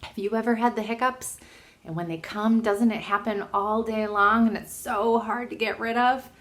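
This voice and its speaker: A woman reading a children's storybook aloud at storytime, expressive and often putting questions to her young listeners. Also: on the high side, moderate pace.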